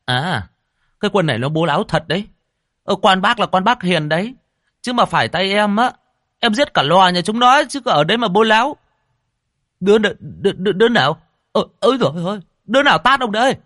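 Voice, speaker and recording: A pompous rich old man, voiced by a male storyteller, stammering and blustering in a high, strained voice. His pitch leaps up and down, and the recording is close and dry. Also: expressive, brisk, fairly bright tone, no echo.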